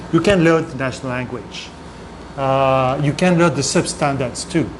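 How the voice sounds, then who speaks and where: A man giving a guest lecture, hesitant and full of fillers and restarts, in a room with air conditioning hum. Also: a little high. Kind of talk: academic lecture.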